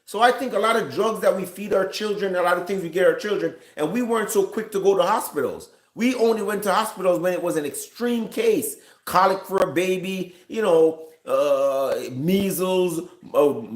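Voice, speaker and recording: A man giving casual, off-the-cuff reaction commentary in an emphatic, conversational way, often repeating himself. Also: high-pitched. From Jamaica. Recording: roomy, echoing room sound.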